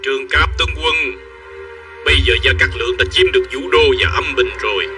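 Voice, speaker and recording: Guy voicing a measured, commanding old-world war strategist in a cartoon, very sharp voice, sounds off-mic w faint music. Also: mid-range voice, far from the mic, lightly acted. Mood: calm, calculating. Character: commanding, calculating middle-aged male military strategist of ancient China. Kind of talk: Vietnamese-dubbed historical animation acting.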